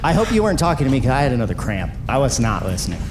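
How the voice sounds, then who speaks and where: A man's voice: medium pitch, sing-song, rapid-fire, noisy background. A man voicing a distracted, rambling trainee who loses track of explanations in a comedic audio drama. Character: dim, easily distracted young male trainee. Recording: loud music bed behind voices.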